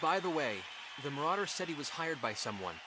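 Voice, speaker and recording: Man acting a high-pitched, earnest young hero. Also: expressive, a little fast, lightly acted. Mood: polite. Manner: earnest, hesitant, self-effacing. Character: earnest, modest young male adventurer hero. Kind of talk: anime-style fantasy game dialogue. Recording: clear game ambience noise behind.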